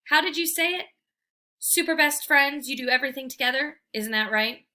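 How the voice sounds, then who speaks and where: Cynical lady retriever for a storybook, female reader acting, snide, raised pitch. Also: normal speed, sharp tone. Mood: irritated.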